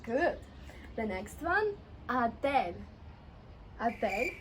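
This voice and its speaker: A woman teacher with a Russian accent giving a friendly vocabulary lesson, slowly repeating words and laughing often. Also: a little high, very animated, noisy background.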